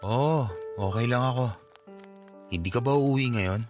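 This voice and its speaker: A man's voice: medium pitch, measured pace, lightly acted. A man performs a grieving son in a radio drama, heavy with guilt. He speaks emotionally and blames himself, and music plays beneath his voice. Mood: downcast, guilty. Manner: emotional, self-blaming. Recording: music bed under the voice.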